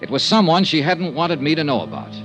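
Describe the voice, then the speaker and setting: Cynical American radio detective, animated pitch. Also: male voice, fairly quick. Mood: weary, brooding. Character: cynical, world-weary hard-boiled male insurance investigator. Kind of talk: old-time radio detective drama, noir narration and dialogue. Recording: vintage radio recording, faint music.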